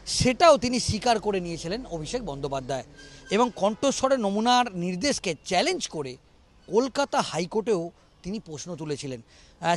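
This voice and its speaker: A man giving an unscripted news report in a halting, repetitive way. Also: sits high. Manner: halting, repeats phrases.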